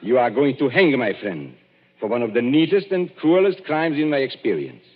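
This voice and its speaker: An actor playing a shrewd gentleman detective, unmasking a killer in 1940s radio-drama style, measured and commanding. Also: mid-range voice, sing-song. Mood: calm, triumphant. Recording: muffled 1940s radio broadcast.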